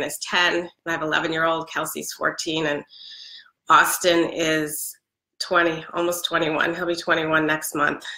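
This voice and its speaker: A woman's voice: lowish voice, pushed and bright. Congested woman in her forties, chatty and upbeat on a webcam live stream, roomy echo. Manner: chatty, upbeat, many ums, laughs often. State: congested from a head cold. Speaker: product seller. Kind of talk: casual live stream chat. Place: living room with a Christmas tree. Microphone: webcam at close range. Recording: echoey room.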